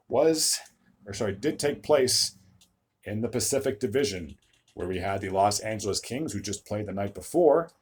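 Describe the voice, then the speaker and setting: Man recapping hockey, slightly raspy voice. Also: lowish voice, darkish tone. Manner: stumbles over player names, frequent "uh" fillers. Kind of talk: solo sports recap video.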